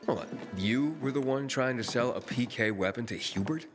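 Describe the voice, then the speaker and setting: A man's voice: mid-range voice, moderate pace, natural voice. An actor playing a determined young wanderer in a video game, casual but firm as he questions people. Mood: matter-of-fact. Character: determined young male wanderer, probing, streetwise hero. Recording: music behind the voice.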